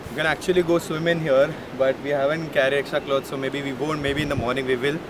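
A man's voice: mid-range voice, little pitch movement, quick pace. A young man in his twenties with an Indian English accent, chatting to viewers in a casual travel vlog, filmed outdoors on a handheld camera. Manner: chatty, addresses viewers as guys, frequent uh fillers. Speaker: travel vlogger. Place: outdoors at a beach campsite. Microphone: camera mic, handheld selfie framing.

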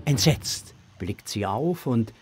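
A man's voice: mid-range voice, animated, slow, a little mellow, faint music behind. A man narrating a scripted audio drama for children.

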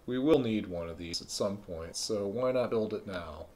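A man streaming a video game live, thinking aloud in a relaxed way with frequent ums. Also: on the low side, moderate pace, a little hiss or hum. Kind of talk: live let's-play commentary.

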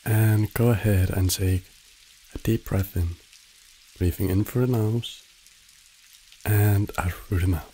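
A man narrating a guided meditation in a soothing, measured way, giving short instructions with long pauses. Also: on the low side, fairly even intonation, mellow, a little hiss or hum, poor audio.

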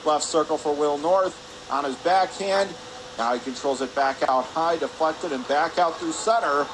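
A man's voice: a little high, normal speed. A man calling live hockey play-by-play for radio from an indoor rink. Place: spectator area of an indoor ice rink. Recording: noisy arena hum behind the voice.

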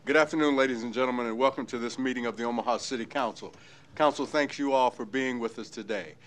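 A man with a Midwestern American accent chairing a city council meeting, halting and full of fillers, at a desk mic in a large chamber. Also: mid-range voice. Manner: halting, many fillers and restarts. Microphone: desk microphone.

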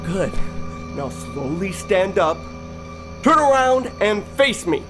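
Male cop, played by an actor, aggressive barked orders, high voice with big swings. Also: very animated, slow, a bit bright, faint music behind, full character voice. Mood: forceful. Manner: barking orders. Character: aggressive, commanding police officer making an arrest. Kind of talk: audio drama acting.

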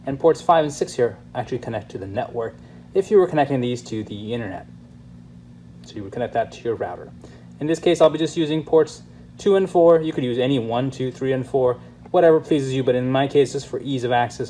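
A man with an average-pitched voice talks through a hands-on product demonstration. He is casual and instructional, going step by step. Faint room noise sits behind his voice. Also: fast talker, mellow. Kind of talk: hands-on tutorial narration, casual. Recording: clear background noise, room ambience.

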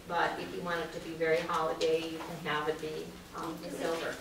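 A woman's voice: low-pitched, monotone, brisk, vocal fry. A middle-aged woman leads an informal home decor class in a large meeting room. She talks in a chatty, practical way with plenty of ums. She is recorded from a camera at the back, so her voice sounds distant and very echoey, with the audience heard around her. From Minnesota. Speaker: master gardener presenter. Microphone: far camera at the back of the room. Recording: echoey room, far from mic, audience noise.

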